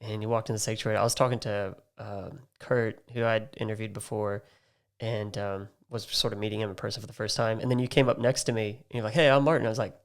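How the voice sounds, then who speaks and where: A man's voice: lowish voice, little pitch movement, quick pace, gravelly. An Australian man hosting a podcast, chatting casually with fillers and easy laughter. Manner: friendly, laughs easily, many fillers. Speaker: podcast host. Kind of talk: casual interview chat.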